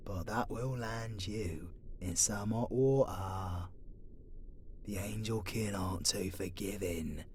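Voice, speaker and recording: An actor playing a captive demon, defiant and gruff but tender, with a British accent and a hushed, breathy, colloquial delivery in an ASMR roleplay. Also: low-pitched, a little hiss or hum. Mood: fond, grateful.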